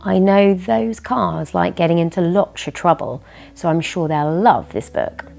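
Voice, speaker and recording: A British woman in her thirties reading a storybook to young listeners in an animated way, indoors in a living room. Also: on the low side, measured pace, vocal fry, faint music behind. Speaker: children's story reader and host. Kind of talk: expressive children's storybook reading. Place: furnished living room by a fireplace.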